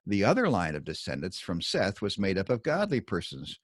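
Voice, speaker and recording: A man with a fairly low voice reads a scripted commentary on scripture. His delivery is measured and emphatic, with very varied intonation. The recording is dry and close, with little room sound. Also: wildly swooping pitch, husky, no echo. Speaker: author reading own Bible commentary.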